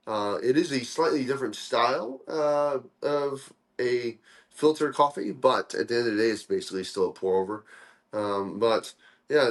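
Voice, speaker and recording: A young man from Korea, a guest in a casual interview, talking in a relaxed, friendly way with lots of "uh" fillers. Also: on the low side. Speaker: guest, coffee dripper co-founder.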